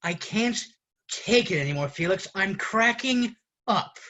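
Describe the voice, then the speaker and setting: Man acting exasperated roommate, slow emphatic stage monologue. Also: high-pitched, lively intonation, pushed and bright, lo-fi sound, exaggerated voice. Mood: exasperated, irritated. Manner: deliberate, emphatic, dramatic pauses. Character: exasperated male roommate ranting at his fussy friend. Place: online video call performance.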